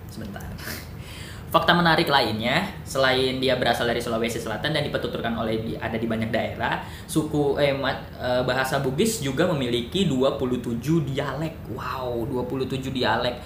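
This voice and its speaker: A man casually explaining words in an educational video, thinking aloud with fillers, self-corrections and jokey asides. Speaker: educational YouTuber. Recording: echoey room with noise and rumble.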